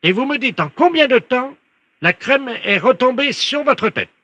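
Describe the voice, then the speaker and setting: A man's voice: very high voice, lively intonation, normal speed, pushed and bright, no room sound, muffled recording, exaggerated voice. A man voicing an officious, absurd scientist, methodically giving instructions and insistent questions on a prank call. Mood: instructing. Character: officious, absurd scientist directing a phone experiment.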